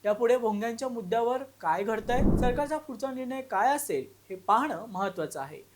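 A man's voice: very high voice, lively intonation, brisk, noisy background. A man reading scripted news as a bulletin anchor.

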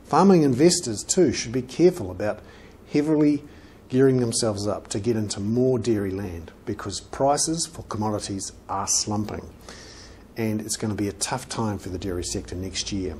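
Mellow middle-aged New Zealand man presenting. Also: on the low side, moderate pace, slight background noise. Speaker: financial journalist presenting an economic report. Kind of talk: presented news commentary to camera. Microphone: lapel mic.

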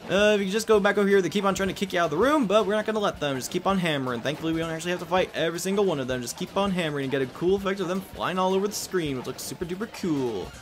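A man's voice: sits high, rapid-fire, few pauses, slight background noise. A man casually commenting on a video game as he plays, rambling with jokey asides. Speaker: let's play host. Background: game music.

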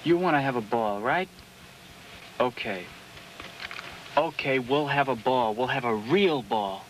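A man's voice: lively intonation, measured pace, natural voice. An actor playing a stubborn, moody American teenage boy in a 1950s film. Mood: sullen, sarcastic. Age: young adult. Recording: noisy old film soundtrack, engine rumble.

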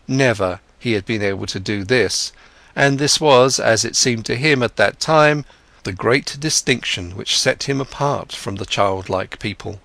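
A man narrating a literary audiobook in a measured, contemplative way. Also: lowish voice, gravelly, fairly bright tone, dry recording.

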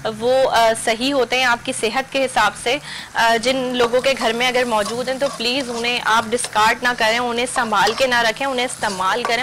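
A woman's voice: fairly high pitch, noisy background. A young woman, hosting a TV cooking demonstration from a studio kitchen and walking viewers through each step. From Pakistan. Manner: instructive, addresses viewers directly. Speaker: cooking show host.

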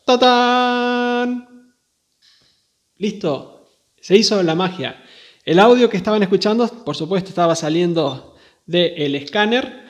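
A man's voice: high-pitched, slow, long pauses. A man presenting a casual hands-on tech demonstration, talking informally and directly to viewers. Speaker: video host demonstrating radio gear.

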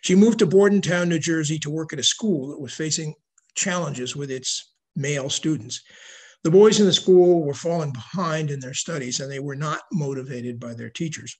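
An older man giving a prepared slide lecture on history over a video call, reading in a measured way. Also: fairly high pitch, a little mellow, slightly rough. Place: indoor room at home, video call. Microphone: video call microphone.

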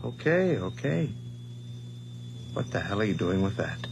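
Placating friend, humming noise behind. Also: male voice, fairly low pitch, big pitch swings, measured pace, creaky, a little mellow, exaggerated voice. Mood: alarmed. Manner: hesitant, soothing, casual. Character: evasive, placating old school friend, outwardly reasonable. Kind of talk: radio horror drama acting.

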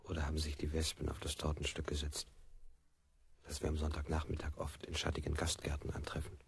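Husky, evasive prisoner under interrogation. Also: male voice, a bass voice, completely flat delivery, a little fast, full character voice. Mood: rambling, agitated. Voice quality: husky, gravelly. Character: interrogated man, evasive, rambling in surreal images. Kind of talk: 1960s German experimental radio play acting.

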